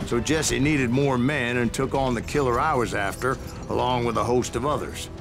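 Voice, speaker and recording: Gravelly old Western bounty hunter, acted by a man. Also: medium pitch, moderate pace, music in the background, close to an everyday voice. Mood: boastful storytelling. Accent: American. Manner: folksy, boastful storyteller drawl. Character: grizzled old male bounty hunter spinning tall tales. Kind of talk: dramatic Western game narration.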